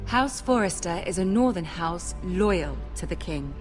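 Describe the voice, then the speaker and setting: An actress playing a poised young noble lady in a game drama, gentle and persuasive, with a measured, diplomatic manner. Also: fairly low pitch, lively intonation, faint music behind. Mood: courteous, formal.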